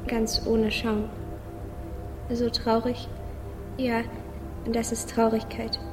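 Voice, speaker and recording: A woman's voice: a little high, completely flat delivery, takes it slowly. A woman playing a naive, sad little boy musing simply in a radio play. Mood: wistful. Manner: simple childlike musing, repeating phrases. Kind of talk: radio play inner monologue. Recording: long reverb, music clearly behind.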